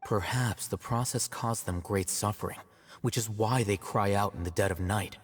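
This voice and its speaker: A man playing an aloof, self-assured young scholar in a video game, measured, articulate and slightly smug. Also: on the low side, slightly rough, long reverb tail. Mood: explaining.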